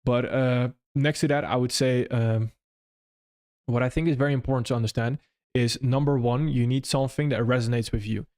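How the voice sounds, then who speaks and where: Young non-native English-speaking man, live-stream host answering chat, loose and rambling, mid-pitched voice. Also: fairly even intonation, normal speed, darkish tone. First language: not English. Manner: loose and conversational, frequent restarts and "if that makes sense".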